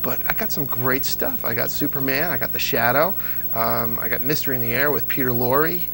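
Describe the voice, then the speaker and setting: Gravelly chatty man in his thirties. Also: mid-range voice, fairly quick, noisy background. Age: 30s. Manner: chatty storyteller with many fillers. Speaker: guest. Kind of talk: casual interview. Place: TV studio set.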